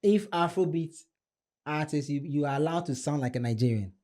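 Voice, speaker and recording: A man's voice: on the high side, normal speed. Hyped man hosting a panel. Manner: hyped, shouting out and pressing questions. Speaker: podcast host. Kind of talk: casual panel talk. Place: studio with woven cloth backdrop. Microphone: studio mic on a stand.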